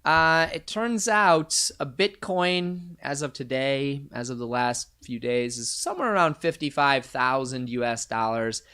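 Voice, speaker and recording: A man's voice: mid-range voice, unhurried, few pauses, sharp tone, clean tone. Male quiz host, casual, reads questions and scores with dry asides. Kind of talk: live-streamed quiz night banter.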